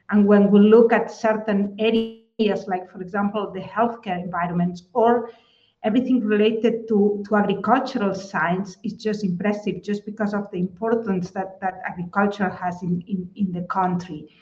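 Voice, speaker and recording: A woman's voice: medium pitch, fairly even intonation, moderate pace, darkish tone. Woman, Spanish accent, webinar panelist, roomy echo, muffled lo-fi sound. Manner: thoughtful, many small restarts. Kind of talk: online panel discussion. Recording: echoey dull webinar audio.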